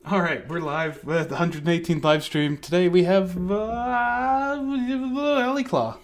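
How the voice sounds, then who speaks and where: A man's voice: sits high, slow pace, a little hiss or hum. Playful man co-hosting an art stream. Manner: playful, laughs often, jokey. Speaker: co-host of an art critique stream. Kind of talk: casual group chat.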